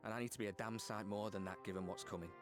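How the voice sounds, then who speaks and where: A low male voice acted by a man as a determined young soldier in a game. He is plain-spoken, humble and heartfelt, with music loud beneath him. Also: fairly even intonation, very fast, lightly acted. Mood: earnest resolve. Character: earnest, self-doubting but determined young male soldier. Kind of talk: video game voice acting. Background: orchestral game music.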